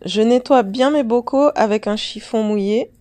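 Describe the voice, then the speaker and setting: A woman vlogger with a dark, mellow voice talks the viewer through a homemade remedy. Her tone is easy and chatty, like a friend showing you how she does it. A faint hiss sits under her voice. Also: fairly high pitch, moderate pace. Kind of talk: casual how-to narration to camera.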